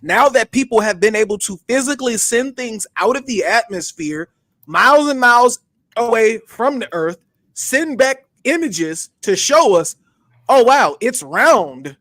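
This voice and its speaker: Man, stream host, high voice, insistent, repetitive, casual debate, dry recording. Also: animated, measured pace, a bit bright, no room sound. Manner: insistent, repeating himself.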